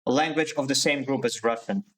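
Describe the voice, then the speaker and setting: A man's voice: medium pitch, fairly quick, a bit bright, a little hiss or hum. A young man from Slovakia, ranting casually as a streamer, swearing and restarting his sentences. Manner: heated ranting with profanity and restarts. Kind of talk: casual live-stream commentary.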